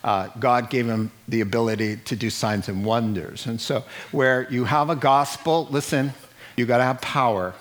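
A middle-aged man preaching a sermon in an animated way, acting out the people he quotes and laughing at his own jokes. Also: medium pitch, moderate pace. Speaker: pastor.

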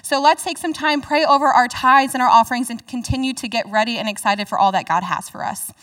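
A woman's voice: a little high, fairly bright tone, recorded from a distance. A woman giving upbeat church announcements to a congregation and then leading a prayer over the offering. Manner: upbeat, slows to spell out numbers. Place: church sanctuary.

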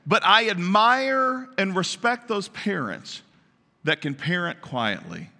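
A man preaching a sermon to his congregation, naturally loud and emphatic, often asking them questions. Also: high-pitched, sing-song, slow pace, creaky. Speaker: pastor. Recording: long reverb of a large hall.

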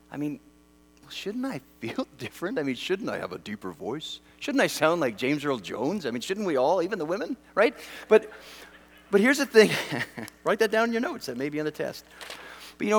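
Middle-aged male preacher from Western Canada, conversational and animated, with a slightly raised pitch, recorded in a big echoing hall. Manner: animated, conversational, self-deprecating humor. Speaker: pastor. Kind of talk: sermon. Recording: long hall reverb.

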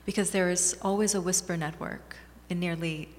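A woman author from Bangladesh answers interview questions in a measured, earnest, reflective way. Her voice sits on the low side for a woman. A long reverb trails her words around the hall. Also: steady tone. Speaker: author and guest. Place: small community gathering room.

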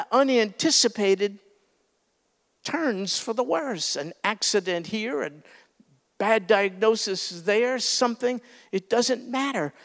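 A man's voice: high voice, in a large hall. A male pastor preaching a sermon, earnest and exhorting, building emphasis as he urges his listeners.

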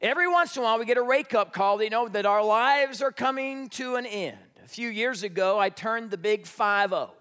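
Man, fifties, American accent, high voice, conversational preacher, folksy, joking, repetitive emphasis. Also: animated, a little fast, few pauses, a bit bright, smooth. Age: 50s. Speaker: pastor. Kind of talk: conversational sermon to a congregation. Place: church before an audience.

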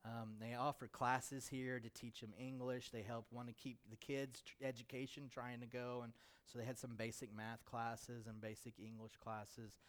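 American guy pastor telling church about a trip, chatty storytelling, clean smooth medium voice. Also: medium pitch, flat delivery, moderate pace, few pauses. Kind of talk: informal church presentation of a mission trip.

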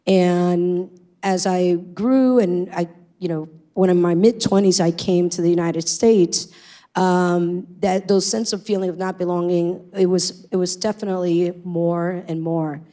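A woman's voice: on the low side, fairly even intonation, unhurried, warm tone. A middle-aged woman with a Puerto Rican accent, a native Spanish speaker, sharing her personal testimony in an earnest, reflective way. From Puerto Rico. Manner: earnest, reflective, with frequent ums. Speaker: church member sharing testimony.

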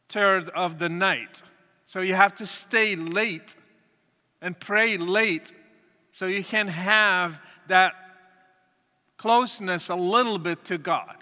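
High-voiced man, slow testimony. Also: bright, forceful tone. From Morocco. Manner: earnest, deliberate, with pauses and restarts. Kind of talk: testimony talk to an audience. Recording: reverberant hall.

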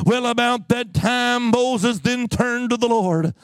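A man preaching a fervent sermon, exhorting his listeners emphatically, telling the story dramatically and repeating phrases with drawn-out words. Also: very high voice, clean tone, no echo, studio quality.

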